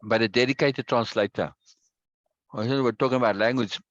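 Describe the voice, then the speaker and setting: A man hosting a casual video-call chat, talkative and quick to repeat himself and laugh. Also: mid-range voice, steady tone, no echo, highs cut off. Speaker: meeting host.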